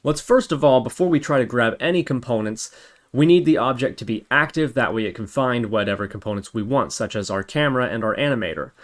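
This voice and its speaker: A male tutorial host with a medium-pitched voice walks through code step by step. He is methodical and thinks aloud as he goes, with keyboard typing behind him. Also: expressive, fairly quick. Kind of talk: screen-recorded coding tutorial, explaining step by step.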